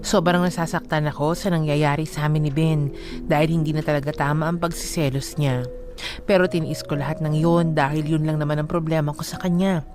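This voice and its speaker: A woman with a Filipino accent working as a radio DJ and co-host. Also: deep voice. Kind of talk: radio banter and dramatic letter reading. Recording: music bed under the voice.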